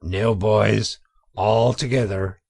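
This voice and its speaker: A man voicing a steady, gruff, commanding badger leader in a storybook, with a placid authority. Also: fairly low pitch, slow pace, no echo, full character voice. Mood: firm. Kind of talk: audiobook character voice.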